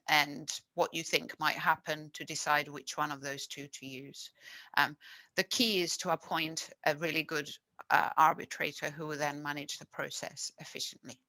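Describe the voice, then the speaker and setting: A woman with a low but bright, forceful voice explains a legal process step by step, with frequent ums and uhs. Her video-call sound is dry and close. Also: no room sound. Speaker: webinar panelist, lawyer. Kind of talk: webinar panel explanation.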